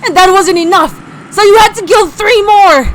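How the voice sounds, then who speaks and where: Woman voicing a raging young man, shrill screams, bright sharp tone. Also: moderate pace, a little hiss or hum, poor audio. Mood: raging grief. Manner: screaming, raging, near tears. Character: young man, grief-stricken and furious.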